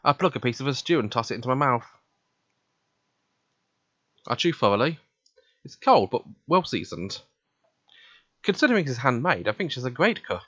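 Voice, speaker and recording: A man's voice: mid-range voice, big pitch swings, moderate pace, pauses often, a bit bright, no echo, highs cut off. A man reading a visual novel aloud, narrating in the first person and voicing its dialogue.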